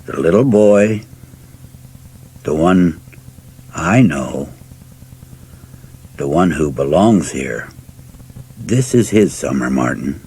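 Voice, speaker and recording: Gentle, firm storybook father, acted by a male narrator: deep, husky, deliberate, full of compassion. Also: expressive, full character voice. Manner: slow, tender, deliberate.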